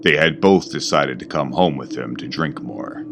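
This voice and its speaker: A man narrating a scripted horror story in a slow, grave, ominous manner. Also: very deep voice.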